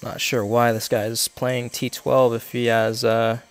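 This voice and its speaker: A congested man with a gravelly, fry-laden voice talks through his golf game shot by shot, pausing on fillers as he reasons aloud. His pitch sits in the middle of a man's range, and faint music runs behind him. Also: flat delivery. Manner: explains his shots step by step, with many fillers. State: congested, coughing. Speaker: game streamer. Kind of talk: live gameplay commentary.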